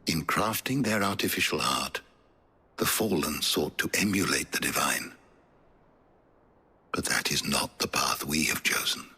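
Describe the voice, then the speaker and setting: An actor playing an erudite older scholar in a video game, with a raspy voice and a measured, eloquent manner. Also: male voice, rumbling low, sharp tone, full character voice. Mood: solemn, hopeful. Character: erudite older male scholar, solemn and sardonic.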